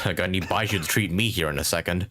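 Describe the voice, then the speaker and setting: A man's voice: low voice, fast talker, sharp tone. A man live-streaming a video game with casual commentary, thinking aloud and chatting with his viewers.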